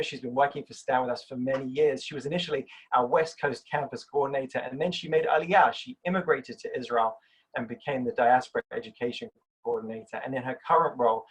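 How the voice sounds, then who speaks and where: Husky man hosting a webinar. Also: medium pitch, poor audio. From Britain. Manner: formal, scripted host introduction. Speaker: webinar host. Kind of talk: live webinar introduction.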